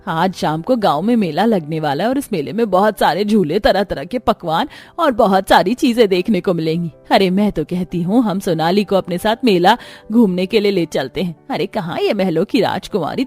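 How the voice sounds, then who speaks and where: A woman's voice: medium pitch, expressive, normal speed, darkish tone, husky, soft background music. A woman voicing a kindly, reassuring middle-aged village mother-in-law in a cartoon story, motherly and affectionate. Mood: eager.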